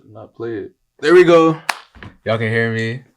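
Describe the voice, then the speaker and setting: A young man hosting a casual podcast, talking in relaxed slangy banter into a mic. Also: medium pitch, measured pace, music in the background. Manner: laid-back slangy banter. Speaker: podcast host. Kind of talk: casual chat.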